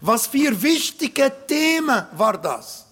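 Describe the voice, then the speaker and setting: A man preaching a sermon in German with an Arabic accent, non-native grammar and emphatic, slowly built phrases. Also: very high-pitched, very animated, husky. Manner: emphatic, slow, swells louder on key points.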